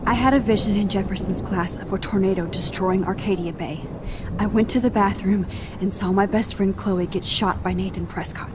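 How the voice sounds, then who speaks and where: An earnest young female student voiced by a woman speaks gently and a little hesitantly, at a medium pitch. It is troubled video game drama, with clear storm noise behind her. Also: fairly even intonation, moderate pace, lightly acted. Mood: earnest, urgent. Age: young adult.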